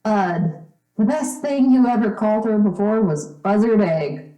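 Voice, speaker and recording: A woman's voice: medium pitch, lively intonation, a little slow, mellow, close to an everyday voice. A woman acting a teasing but loyal young girl in an old-time radio drama. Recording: echoey room.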